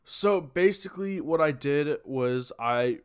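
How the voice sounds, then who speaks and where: A man's voice: a little high, animated, takes it slowly, clear, resonant tone. A young man in his late teens, streaming from home on a desk mic and chatting casually, rambling with lots of fillers and self-corrections. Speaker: streamer reacting to videos. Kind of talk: casual live-stream chat.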